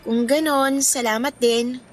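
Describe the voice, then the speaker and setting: An actress playing a considerate, candid young daughter with a Filipino accent in a radio drama. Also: female voice, sits high, steady tone, a little slow. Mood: candid, careful.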